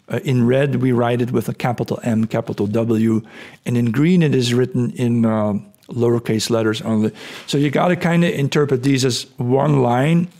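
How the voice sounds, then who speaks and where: Middle-aged male podcast host, hoarse, rambling explainer with restarts. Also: mid-range voice, darkish tone. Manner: thinking aloud, many fillers and restarts. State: hoarse, voice cracking, clearing throat. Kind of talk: casual explanatory talk over screen share. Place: indoor room at home. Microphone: studio mic on boom arm.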